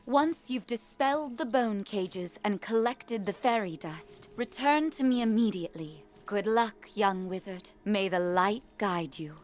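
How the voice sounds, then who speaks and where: A woman voicing a wise, gentle, solemn seraph guardian in a fantasy video game, formal and measured. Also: medium pitch, animated, natural voice. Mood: solemn, kind. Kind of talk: video game character acting.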